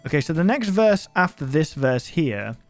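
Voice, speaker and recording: A man's voice: a little high, very animated, normal speed. Man reacting casually over background music. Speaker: reaction video host. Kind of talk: casual reaction commentary, thinking aloud and reading on-screen text.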